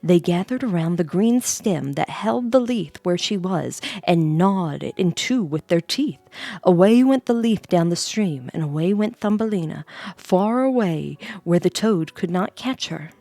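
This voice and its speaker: A woman's voice: on the low side, lively intonation, a little slow, creaky, dark tone, dry recording. A woman streamer reading a storybook aloud, playfully breaking off for casual asides and reactions. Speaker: streamer host reading bedtime stories. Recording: close dry voice over background music.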